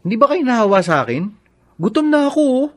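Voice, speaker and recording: An actor playing a lazy, spoiled young husband in a Filipino radio drama, whining and wheedling, with a Filipino accent. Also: male voice, very high-pitched, very animated, fast talker. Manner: whiny, wheedling, grumbling.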